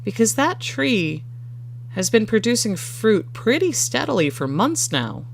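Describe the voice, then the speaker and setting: A narrator as an inquisitive, higher-voiced story protagonist. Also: sing-song, a little slow, vocal fry, slight background noise. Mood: curious. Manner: questioning, curious. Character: inquisitive first-person owner of a mysterious backyard tree. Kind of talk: character dialogue in a narrated story. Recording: music behind the voice.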